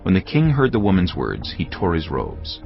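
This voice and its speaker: A man giving solemn, measured scripted narration for a dramatised audio Bible. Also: deep voice, huge pitch swings, vocal fry, breathy edge. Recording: dull, muffled audio over music.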